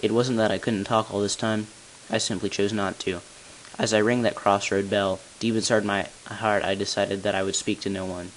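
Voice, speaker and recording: A hissy home recording carries the low voice of a tall, solemn bell ringer acted by an amateur man. He narrates long-kept secrets from a video game story. His delivery is grave and deliberate. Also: flat delivery, close to an everyday voice, rough recording. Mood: grave, urgent. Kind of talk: scripted character narration, amateur game voice-over.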